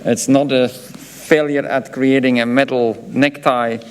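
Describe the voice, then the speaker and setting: A man's voice: medium pitch, fairly even intonation, measured pace, clean tone. A man from Belgium with a Flemish accent, a professor giving a graduation ceremony speech, hesitant and full of filler sounds, with chatty asides. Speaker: professor presenting diplomas.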